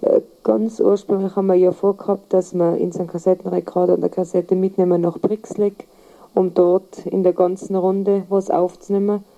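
A woman's voice: fairly low pitch, little pitch movement, moderate pace, vocal fry, dark tone, low-quality recording. A woman talking casually, hesitating as she recounts something in a spoken message.

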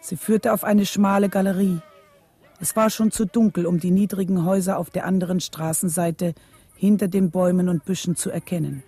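A woman's voice: on the low side, steady tone, brisk, warm tone, slightly rough. A woman narrating a scripted radio drama in a sober, factual storytelling manner. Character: sober narrator recounting a historic killing.

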